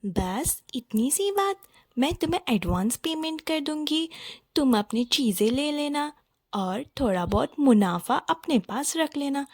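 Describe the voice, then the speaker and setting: A woman voicing a friendly, insistent neighbour in a children's cartoon, animated, effusive and full of coaxing encouragement. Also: high voice, a little slow, no echo. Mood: cheerful, coaxing. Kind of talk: Hindi children's cartoon story acting.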